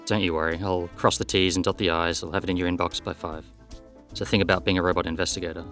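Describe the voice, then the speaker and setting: An actor playing a brisk, matter-of-fact male detective in a scripted audio drama, businesslike and plain-spoken. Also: deep voice, level tone, fairly bright tone, faint music behind. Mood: firm.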